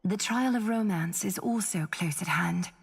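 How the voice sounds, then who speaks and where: An actress playing a solemn, dignified noblewoman weaver in a fantasy game, speaking softly in measured, formal phrases. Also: medium pitch, creaky, slightly rough. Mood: solemn, portentous. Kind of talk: scripted game voice acting. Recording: long reverb, music behind.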